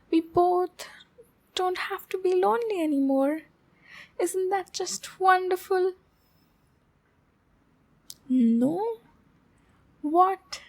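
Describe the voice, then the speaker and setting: A woman plays a possessive demon girl in an intimate ASMR roleplay. Her voice is high and slightly creaky, and she speaks slowly. She coos to the listener with clingy sweetness and an undertone of threat. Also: full character voice. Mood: tender, devoted, then hurt, pleading.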